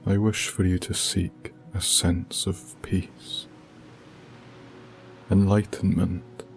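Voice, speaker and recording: A man leads an ASMR guided meditation in a soft, hushed, soothing voice, speaking deliberately with long pauses. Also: deep voice, flat delivery, gravelly. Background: music with binaural beats.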